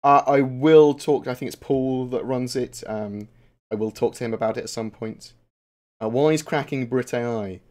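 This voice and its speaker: Young male streamer, casual and rambling, mid pitch, swears a lot, many ums. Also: normal speed, a little mellow. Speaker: streamer answering viewer questions. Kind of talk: casual live-stream chat.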